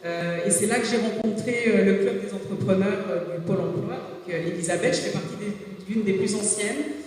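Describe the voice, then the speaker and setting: A woman in her forties, a native Swahili speaker, giving a halting talk as a career coach, hesitating often and restarting her sentences. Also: mid-range voice, a little mellow. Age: 40s. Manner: hesitant, many filler sounds and restarts. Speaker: business coach speaking at a jobs fair. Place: large gymnasium hall. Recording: echoey gym hall from far off.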